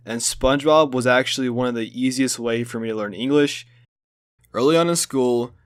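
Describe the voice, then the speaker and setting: A young man whose first language is Hungarian, narrating a school video project in a relaxed, conversational way with fillers. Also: mid-range voice, clear, resonant tone.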